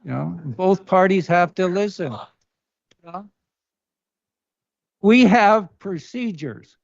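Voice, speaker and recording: Firm higher-voiced man chairing a council. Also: expressive, slow pace, vocal fry, dry recording. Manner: firm, admonishing. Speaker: mayor chairing a council meeting. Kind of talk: chairing a public meeting. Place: council chamber. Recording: dull, muffled meeting audio.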